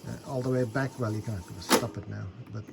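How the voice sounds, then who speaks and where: A man's voice: mid-range voice, fairly even intonation, a little fast, gravelly, very noisy. A man giving a casual, unscripted hands-on demonstration, explaining things a little hesitantly as he goes. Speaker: hobbyist demonstrating a repaired tape deck.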